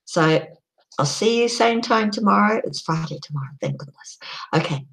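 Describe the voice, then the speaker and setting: A middle-aged woman with a croaky voice, hosting a casual solo live stream, chatty and unhurried with frequent ums. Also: mid-range voice, lively intonation, fairly bright tone.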